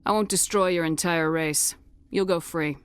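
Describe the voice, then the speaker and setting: A woman playing a level-headed spaceship commander in a video game, firm and measured. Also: on the low side, fairly even intonation, clear, resonant tone, no echo, natural voice. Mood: resolute. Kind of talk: scripted video game acting.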